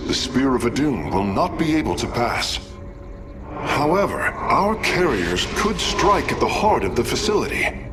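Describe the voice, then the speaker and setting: Alien tech engineer from a game, earnest n exact, normal pitch, loud soundtrack behind. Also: male voice, very animated, a little slow, over music. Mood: analytical. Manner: formal, analytical. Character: precise, earnest male alien engineer, no warrior. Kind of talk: video game cutscene acting.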